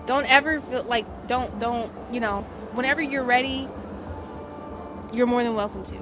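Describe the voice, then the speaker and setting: A woman live streamer chatting casually and slangily to her viewers. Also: on the high side.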